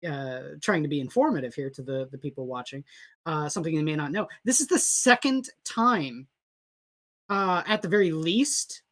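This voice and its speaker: A co-host chatting on a live comics stream, taking time to inform the viewers. The voice sits at an ordinary middle pitch, and the talk is measured and casual. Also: lively intonation, a bit bright.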